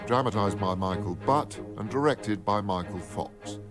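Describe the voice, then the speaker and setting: A man's voice: lowish voice, unhurried. A man reading a scripted radio credits announcement, with music behind him. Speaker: continuity announcer.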